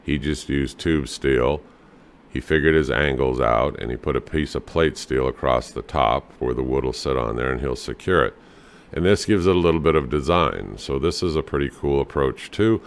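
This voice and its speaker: Middle-aged male DIY host, very low voice, easygoing and plain-spoken, filler-heavy delivery. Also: normal speed. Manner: relaxed, plain-spoken, fillers like "you know" and "um". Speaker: home handyman host. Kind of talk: casual instructional talk over photos.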